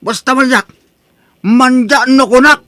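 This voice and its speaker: A man's voice: extremely high pitch, unhurried, pushed and bright, full character voice. A man with an Ilocano accent from the Philippines playing a stubborn, indignant husband who protests insistently in a radio-style comedy drama. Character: indignant, stubborn husband refusing to sell house.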